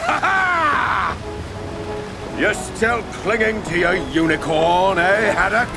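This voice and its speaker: An actor playing a gloating, swaggering pirate villain in a video game, mocking and theatrical. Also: male voice, sits high, animated, slow pace, vocal fry, heavily acted. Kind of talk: animated video game voice acting. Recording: loud music behind the voice.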